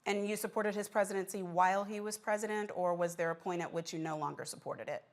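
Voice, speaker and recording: A woman's voice: mid-range voice, steady tone, quick pace. A woman hosting a political news show, speaking in a direct, pressing manner as a broadcast interviewer.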